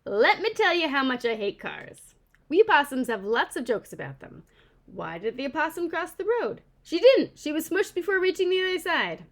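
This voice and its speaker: A woman acts out a sassy mother opossum from a storybook, thoroughly indignant. The voice is raised high and swoops about, with emphatic, argumentative questions thrown at the listener. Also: very animated, moderate pace, fairly bright tone, heavily acted. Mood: exasperated.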